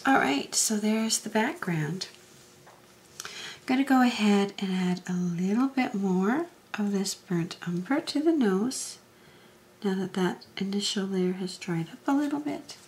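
A woman hosting a craft tutorial, talking through each step in a soft, unhurried way, often dropping to a half whisper, her voice a little hoarse from allergies. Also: medium pitch, lively intonation. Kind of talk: casual step-by-step demonstration.

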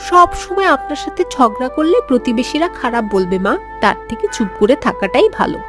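A woman's voice: sits high, sing-song, a little fast, over music. A woman voicing an outspoken but dutiful young daughter-in-law in a cartoon, with a firm manner. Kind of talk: cartoon voice acting.

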